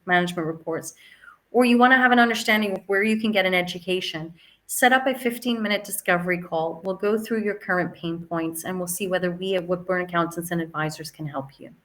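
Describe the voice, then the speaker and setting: A woman's voice: fairly low pitch, a bit bright. A woman hosting an advice video as a business advisor, speaking to viewers in an instructive, emphatic way. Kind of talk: scripted-style advisory talk to camera.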